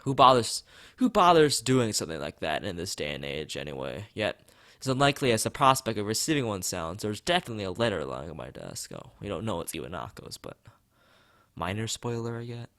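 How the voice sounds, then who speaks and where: A man's voice: fairly low pitch, big pitch swings, a little fast, a bit bright, no echo, studio quality. A man reading a visual novel aloud as a let's player, adding commentary and often stumbling and rereading lines.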